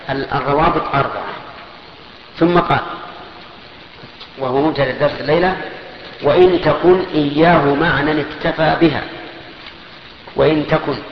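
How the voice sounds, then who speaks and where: Man teaching grammar in an echoey hall. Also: a little high. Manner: slow, methodical, parsing sentences step by step; poses questions and answers them himself. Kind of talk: classical Arabic grammar lesson. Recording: echoey hall, dull lo-fi sound with faint noise.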